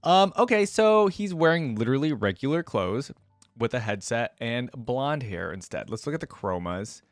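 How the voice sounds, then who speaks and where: A man giving casual reaction commentary as a video host, with an animated, informal and exclamatory way of talking. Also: medium pitch, normal speed, no room sound, studio quality, faint music behind.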